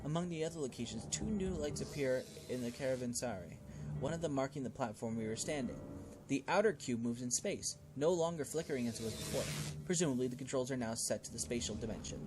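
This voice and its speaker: A man playing through a role-playing game, reading its text aloud and adding casual, expressive commentary of his own. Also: medium pitch, fast, over music, low-quality recording. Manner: expressive, reacting out loud to the game. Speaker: gaming video host playing a role-playing game.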